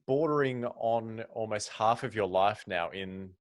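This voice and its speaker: A man's voice: fairly low pitch, measured pace. A male host interviewing a guest, leading with questions and small jokes. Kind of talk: casual interview chat.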